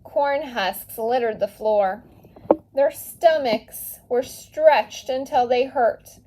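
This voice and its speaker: A woman with a fairly high voice reads a storybook aloud for children. Her delivery is expressive and animated, with dramatic pauses for emphasis. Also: noisy background. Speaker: teacher reading a storybook aloud. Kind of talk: animated children's read-aloud with casual asides.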